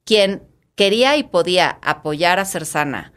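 Low-voiced, eager Mexican woman. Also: lively intonation, measured pace, fairly bright tone. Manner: enthusiastic, restarts and repeats words. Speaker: guest, fitness studio founder. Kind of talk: casual interview talk.

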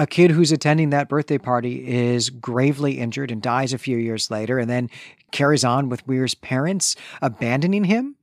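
A man's voice: medium pitch, dry recording, studio quality. Methodical man summarizing a story. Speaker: podcast co-host. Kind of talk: casual book discussion.

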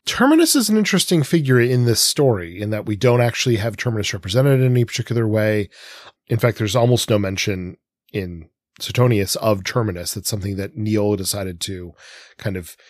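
Male podcast co-host, lowish voice, dry close sound, discursive literary talk. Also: lively intonation, fairly quick, darkish tone, no room sound. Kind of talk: literary discussion of comics and mythology.

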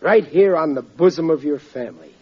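An actor playing a blustering, sentimental working-class father in a 1940s radio comedy, with a husky voice. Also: a little high, a little fast. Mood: sentimental, contented. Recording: muffled old radio broadcast.

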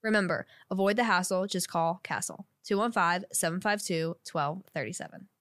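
A young adult woman hosting a real estate podcast, chatty and quick to agree. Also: fairly low pitch, a bit bright, no echo. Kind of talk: casual interview chat.

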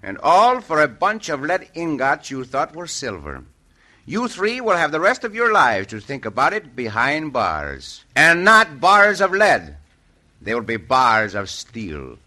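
An actor playing a dashing, confident Mexican cowboy hero in an old radio western. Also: male voice, a little high, wildly swooping pitch, unhurried, bright, forceful tone, full character voice. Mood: commanding. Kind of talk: 1950s radio western acting.

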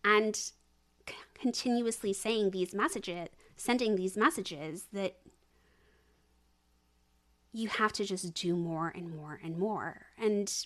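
A woman's voice: mid-range voice, takes it slowly, pauses often, fairly bright tone. A woman in her thirties or older speaking as a podcast guest in a conversational, opinionated way, stressing points by repeating words. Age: 30s or older. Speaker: researcher and analyst. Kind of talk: conversational discussion.

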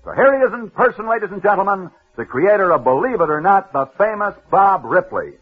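A man's voice: high voice, animated, husky. Dramatic 1940s radio sports host, man. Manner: dramatic, punchy delivery with sudden pauses. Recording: tinny old radio broadcast.

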